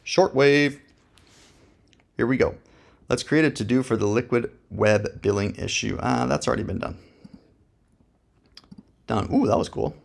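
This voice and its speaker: A man with a gravelly voice, casually reviewing software while thinking aloud in a slangy, joking, laid-back way. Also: mid-range voice, unhurried, pauses often.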